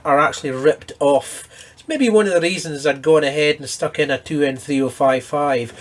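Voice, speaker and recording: A man hosting a casual hands-on repair walkthrough, explaining each step in a matter-of-fact way. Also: a little high. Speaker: host of an electronics repair channel.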